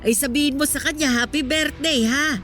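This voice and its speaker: A woman's voice: sits high, fairly even intonation, moderate pace, fairly bright tone. A woman playing a candid, reflective elderly wife in a Filipino radio drama, giving motherly advice. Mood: warmly cheerful. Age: older. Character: elderly poor wife reminiscing on love and regrets. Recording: music playing under the voice.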